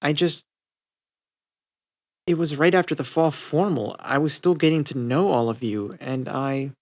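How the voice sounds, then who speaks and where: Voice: mid-range voice, measured pace. A reader voicing a sheepish, defensive teenage girl in a cartoon fan story, speaking hesitantly. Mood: guilty, defensive. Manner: hesitant, subdued.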